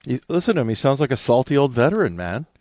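A man's voice: mid-range voice, very animated, fast, darkish tone, dry recording. A male radio host jokes and chats casually with a guest in a medium voice. The sound is dry and close on a studio mic. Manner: chummy, joking. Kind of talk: casual interview chat.

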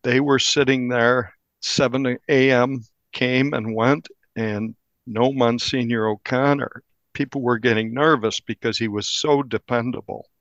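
A man, a newspaper reporter, recounting a crime case in an interview with measured, deliberate storytelling. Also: medium pitch, fairly even intonation, fairly bright tone, dry recording, dull audio.

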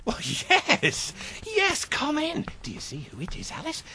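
An actor playing a warm-hearted gentleman nephew, hearty and exclamatory, in a radio drama. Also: very high voice, wildly swooping pitch, a little slow, slight background noise, heavily acted. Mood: astonished, welcoming. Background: prominent music.

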